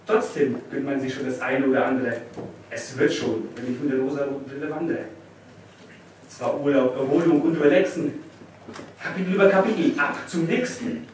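Young male slam poet, mid-pitched, heard from far off in a very reverberant room. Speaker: poetry slam performer. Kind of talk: live spoken-word poetry slam performance. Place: indoor small venue stage before an audience. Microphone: distant camera microphone. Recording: distant, very echoey, noisy room recording.

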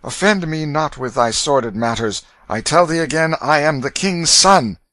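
A man's voice: fairly high pitch, animated, normal speed, a bit bright, dry recording, pitched above the performer's own voice. A man voicing a proud young boy prince in a storybook, with lofty, formal archaic speech. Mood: defiant. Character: proud, indignant young boy prince, gentle but commanding. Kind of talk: dramatic storybook reading.

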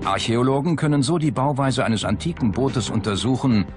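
A man reading scripted documentary narration in a measured, factual manner. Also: mid-range voice. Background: background music.

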